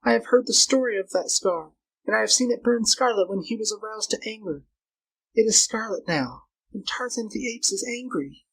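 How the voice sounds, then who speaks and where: A man's voice: high-pitched, moderate pace, a bit bright, husky, voice pushed up, heavily acted, low-quality recording. A man voicing a frightened, scheming young woman in a storybook reading, urgent and pleading. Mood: fearful, insistent.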